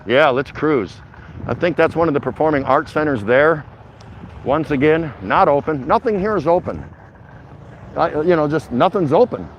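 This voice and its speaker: A man's voice: on the high side, lively intonation, normal speed. A man hosting a casual livestream as he walks outdoors, rambling along in an easygoing, chatty, jokey way to his viewers. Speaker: vlog host livestreaming a walk. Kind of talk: casual rambling walking commentary to live chat. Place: outdoors, walking in a city bayside area. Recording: dull lo-fi outdoor mobile audio, clear traffic noise. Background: passing vehicles and urban outdoor noise.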